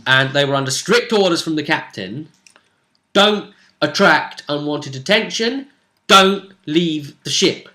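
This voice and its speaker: A man's voice: a little high, expressive, unhurried, sharp tone. A British man speaking as game master, casually recapping a tabletop role-playing session and dropping teasing hints.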